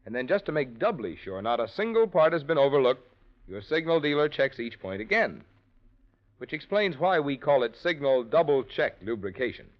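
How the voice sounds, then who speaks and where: A man's voice: medium pitch, sing-song, moderate pace. A male radio announcer delivering a scripted sponsor message in 1940s style. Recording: dull old radio recording.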